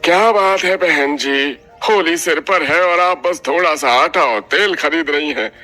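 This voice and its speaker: A man's voice: on the high side, unhurried, sharp tone. A man playing a chatty, nosy neighbourhood grocer in a cartoon story. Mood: nosy, brisk. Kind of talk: Hindi cartoon story voice acting.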